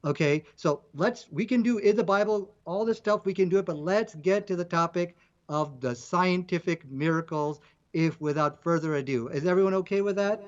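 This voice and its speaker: A man making his case in a debate, measured and persistent. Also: high voice, dull audio. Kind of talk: debate argument.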